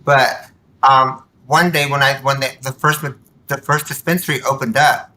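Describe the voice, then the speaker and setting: A woman chatting as a guest on a podcast. Her voice is bright and forceful. She tells anecdotes in an animated, informal way, slipping into quoted dialogue. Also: very deep voice, unhurried, rough recording. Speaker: podcast guest, nonprofit board member. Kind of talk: casual chat, storytelling.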